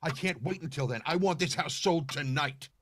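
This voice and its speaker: An actor playing a haunted, nervous widower in old-time radio horror style, halting and stammering with agitation. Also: male voice, a little high, very noisy, natural voice. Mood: frantic urgency. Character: haunted, nervous, grieving widower desperate to sell.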